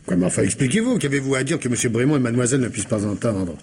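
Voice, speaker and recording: A man playing a stern police commissioner in a French radio mystery, formal and deliberate as he questions and warns. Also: mid-range voice, sing-song, gravelly, a little mellow, a little hiss or hum. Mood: urgent, exasperated.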